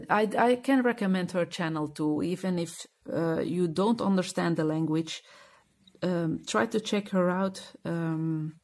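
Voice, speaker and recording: A woman's voice: fairly low pitch, darkish tone. A Belgian woman with a Flemish accent, speaking English as a second language in a casual chat to camera, hesitant and full of fillers as she searches for words. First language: Dutch (Flemish). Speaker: coloring channel host.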